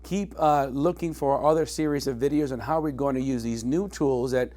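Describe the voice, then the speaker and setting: A man's voice: mid-range voice, moderate pace, a little mellow. A man working as a leadership coach, presenting business advice in an earnest, instructive way with emphasis on key words. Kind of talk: direct-to-camera business advice.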